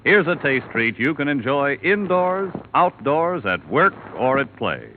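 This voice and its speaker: A man reading commercials as a 1950s radio announcer, in a bright sales style. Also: on the high side, big pitch swings, unhurried, slight background noise. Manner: bright, sing-song salesmanship. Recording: tinny old radio broadcast.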